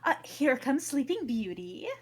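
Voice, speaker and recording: A woman's voice: sits high, sing-song, a little slow, darkish tone, husky, exaggerated voice. A woman reading a gushing female red-carpet announcer in a live comedic table read, full of showbiz patter.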